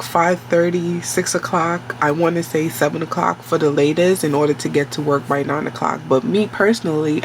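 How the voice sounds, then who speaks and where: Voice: mid-range voice, slight background noise, poor audio. A vlogger, telling a work story in a casual, rambling way, sounding nasal from a cold. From New York City. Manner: slow, rambling and conversational, with many fillers and self-corrections.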